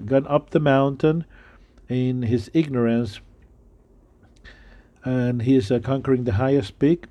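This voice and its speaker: A middle-aged man with a non-native English accent preaching a sermon, halting and full of fillers. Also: medium pitch, mellow. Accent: non-native English speaker. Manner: halting, many fillers and restarts.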